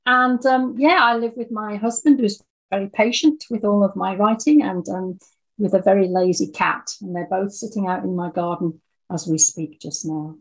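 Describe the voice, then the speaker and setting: Older woman, English accent, slightly husky voice, conversational storytelling, interview guest. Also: mid-range voice, moderate pace, rough recording. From Birmingham, English Midlands. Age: 60s or older. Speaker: interview guest, audio drama writer.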